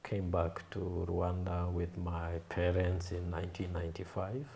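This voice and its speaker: A man in his thirties from Kenya, a Swahili native speaker, recalling his past in an unhurried, thoughtful way, with frequent pauses. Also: low-pitched, completely flat delivery, a little mellow, a little hiss or hum. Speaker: guest, film and creative artist. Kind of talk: reflective interview storytelling.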